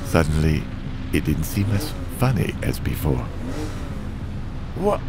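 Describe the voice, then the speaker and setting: A man's voice: very deep voice, big pitch swings, slow pace, noisy background, heavily acted. A man playing a world-weary, fatalistic father and damned driver, narrating a comic drama in a brooding, wry way. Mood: bleak. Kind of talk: scripted first-person dramatic narration.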